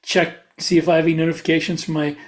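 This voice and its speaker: Male podcast co-host, notably high voice, casual panel talk. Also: little pitch movement, rapid-fire.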